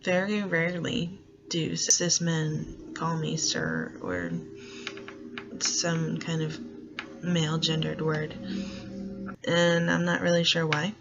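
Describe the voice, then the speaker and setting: Young man with a soft feminine-sounding gravelly voice, slow. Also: a little high, dull audio, over music. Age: young adult. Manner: reflective, hesitant, searching for words. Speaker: college student and grocery worker. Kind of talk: personal documentary monologue.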